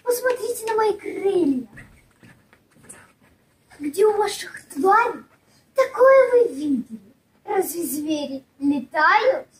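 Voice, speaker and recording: A woman voicing a cunning, defiant female bat in a children's fairy tale play, arguing slowly and emphatically. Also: very high-pitched, expressive, echoey room, full character voice, poor audio. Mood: defiant, sly.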